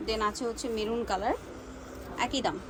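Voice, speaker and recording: A woman with a Bangladeshi accent presenting products to viewers in a brisk sales showcase, repeating and checking details. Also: fairly high pitch, noisy background. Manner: brisk, repeats prices and asks for confirmation.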